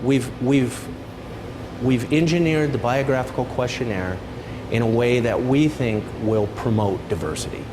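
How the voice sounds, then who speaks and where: A man's voice: mid-range voice, measured pace. A mature man, a professor, answering questions in an interview. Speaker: professor, retired military pilot. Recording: clear hum of background noise.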